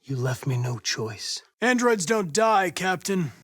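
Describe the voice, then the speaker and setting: A man's voice: high voice, sing-song, slow, a bit bright, breathy edge, heavily acted. A man playing a polite, precise young male android detective in a dramatic video game. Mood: subdued, regretful. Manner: precise, formal, clipped. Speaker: police investigator android.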